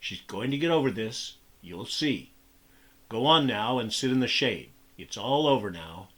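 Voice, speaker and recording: A man voicing a calm, commanding ranch hand father who speaks firmly and steadily. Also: unhurried, breathy edge. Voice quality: firm, low and steady.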